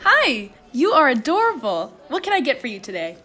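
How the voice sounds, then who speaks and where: Friendly young female barista played by an actress, chirpy and high with lively swings, radio play. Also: moderate pace, exaggerated voice. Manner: chirpy, sweet customer service.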